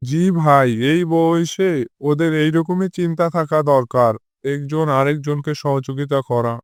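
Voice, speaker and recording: A man's voice: a little high, fairly even intonation, measured pace, smooth, dry recording, clean studio sound, close to an everyday voice. A man voicing a caring, thoughtful father with a familiar fatherly manner in a scripted cartoon. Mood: approving, reflective.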